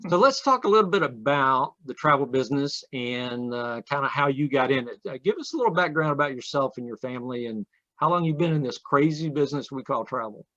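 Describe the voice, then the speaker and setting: A man's voice: mid-range voice, animated, fairly quick, pushed and bright, dull audio. A man hosting a casual interview over a video call, speaking in a friendly, conversational way. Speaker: host.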